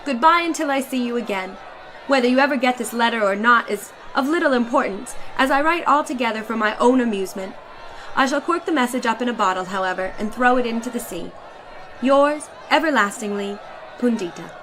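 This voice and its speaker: A woman's voice: on the high side, expressive, brisk, a bit bright, a faint crowd, a little hiss or hum, poor audio. A woman playing a chatty, self-assured lady letter-writer from the future, in a theatrical, emphatic dramatic reading. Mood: brisk farewell. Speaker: reader performing a short story.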